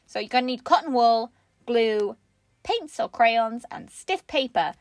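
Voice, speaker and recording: A woman's voice: fairly high pitch, lively intonation, unhurried, dry recording. A woman co-hosting a craft show in casual comedic chat, giggly and playfully sarcastic as she presents.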